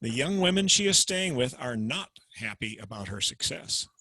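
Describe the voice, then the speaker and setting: A man's voice: medium pitch, sing-song, moderate pace, bright, forceful tone, no room sound, rough recording. A man introducing and summarizing the play in a matter-of-fact way over a video call. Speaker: program host introducing acts. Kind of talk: plain explanatory summary.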